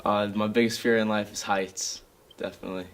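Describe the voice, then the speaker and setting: A man's voice: on the low side, flat delivery, fairly quick, fairly bright tone, slight background noise. A young man from Staten Island, New York, a student giving casual interview answers. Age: young adult.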